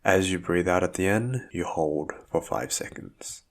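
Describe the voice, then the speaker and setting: A man with a notably low voice narrates a guided meditation in the role of a physiotherapist. He speaks very slowly, setting out each instruction in short, measured phrases. The delivery is calm and soothing. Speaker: physiotherapist guiding a breathing exercise.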